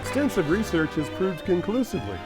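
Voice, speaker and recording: A man's voice: high voice, very animated, fairly quick, loud music behind the voice. A man playing a grandly cheerful 1950s radio announcer narrating a sitcom. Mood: mock serious. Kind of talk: old-time radio announcing.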